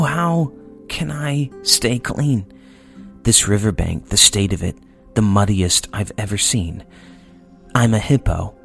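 A man's voice: mid-range voice, creaky. A man voicing a fussy, tubby hippo who hates dirt, in a whiny storybook voice.

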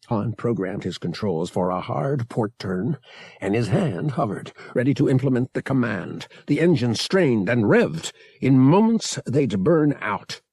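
Animated, slightly husky male audiobook narrator. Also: mid-range voice, no echo. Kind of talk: dramatic scripted narration.

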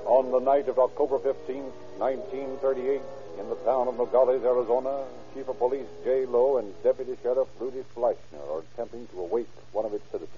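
A man's voice: medium pitch, steady tone, fast talker, few pauses, over music. A man working as an announcer, reading scripted copy formally and emphatically in 1930s radio style. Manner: formal, emphatic salesmanship and narration. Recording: tinny old 1930s radio recording.

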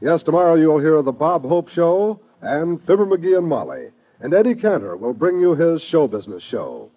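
A man's voice: on the high side, very animated, moderate pace. A man with an American accent making scripted announcements in 1950s network radio style. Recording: muffled old radio recording.

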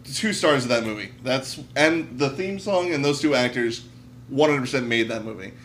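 A man's voice: medium pitch, normal speed, a bit bright. A man talking casually as a panelist on a podcast. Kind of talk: casual chat. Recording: faint background hum.

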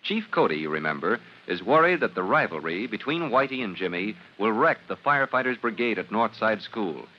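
A man's voice: lowish voice, big pitch swings, a little fast, hardly any pauses. A man with an American accent narrating as a rousing, declamatory announcer of an old-time radio serial. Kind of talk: dramatic 1940s-style radio serial narration. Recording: noisy, muffled vintage radio recording.

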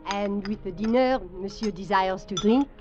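An actress playing a courteous, persuasive middle-aged French restaurant hostess with a French accent in an old-time radio drama. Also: female voice, medium pitch, normal speed, lightly acted. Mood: courteous, insistent. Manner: persuasive, formal, insistent. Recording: muffled old radio recording, faint music.

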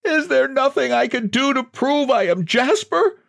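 A man voicing a high, pleading, broken-down man. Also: expressive, moderate pace, gravelly, no echo, studio quality, heavily acted. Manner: pleading, plaintive. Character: desperate, weary man who has lost everything.

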